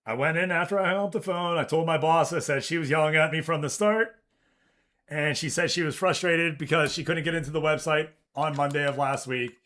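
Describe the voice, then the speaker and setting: A man streaming a live game session, chatting casually and telling a work story with swearing and mimicked voices. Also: fairly quick. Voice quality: deep voice. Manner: animated storytelling, swears, reads chat aloud. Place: office.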